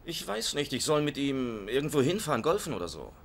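A man's voice: a little high, very animated, fairly quick, gravelly. An actor playing an awkward, eager regular guy with a hesitant, pleading manner in a dubbed sitcom. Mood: evasive, awkward. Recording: noisy TV sitcom soundtrack.

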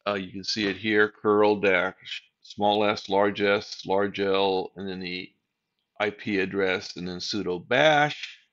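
A man's voice: fairly low pitch, fairly even intonation, slow pace, fairly bright tone, dull audio. An older man narrating a screen-recorded server tutorial, explaining each step in a plain, methodical way. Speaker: tech tutorial host.

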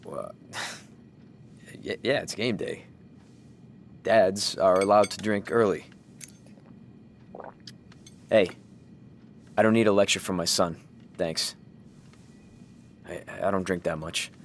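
A man playing a weary, sardonic single father, gruff but caring, who swears when defensive. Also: sits low, slow, long pauses, slight background noise.

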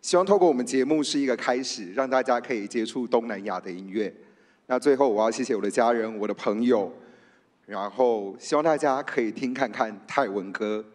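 A Taiwanese-accented man delivers an acceptance speech at a quick pace. His voice sits at a middle pitch and carries the long reverb of a big auditorium. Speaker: radio show host accepting an award. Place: on stage in a large theatre. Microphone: microphone on a stand, held in hand.

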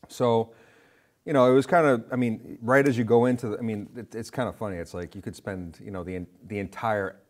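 A man in his forties talks casually as a guest. His voice is fairly low, and he rambles in an offhand manner into a lapel mic. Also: steady tone, fairly quick. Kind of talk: casual chat. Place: indoor studio set with curtains.

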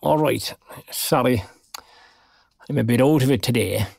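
An actor playing a dry, proud but kindly older retired man in an audio drama, with an Irish accent and a gravelly voice. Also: mid-range voice, a little slow, darkish tone, no room sound. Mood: dry, genial. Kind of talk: naturalistic audio drama dialogue.

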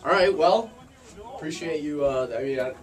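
A man's voice: a little high, normal speed. Dry American male interviewer, music behind. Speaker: fishing show host interviewing. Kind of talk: casual interview chat. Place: small wooden ice fishing shack. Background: guitar music.

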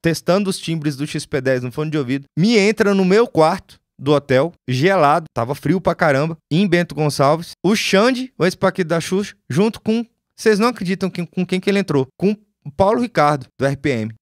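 A man in his forties with a Brazilian accent, a music producer telling stories casually to his live viewers, slangy and animated, on a studio mic in a small home studio. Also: fairly high pitch, brisk, dry recording. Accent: Brazilian Portuguese, lost Goiás accent. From Goiás, Brazil. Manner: animated storyteller, slangy, swears. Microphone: studio mic on boom.

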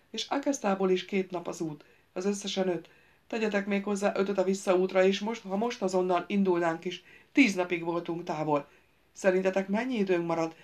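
A woman's voice: on the low side, darkish tone, natural voice. A woman voicing a complaining, agitated young man who whines and accuses the others, in an audiobook. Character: whiny indignant young man, a rabble-rouser. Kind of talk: audiobook character voice.

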